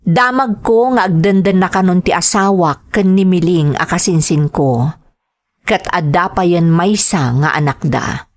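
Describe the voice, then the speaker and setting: A woman playing a betrayed wife who recounts her life in a plaintive, measured way, in dramatized storytelling style. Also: on the low side, lightly acted. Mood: sorrowful. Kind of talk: first-person dramatized storytelling.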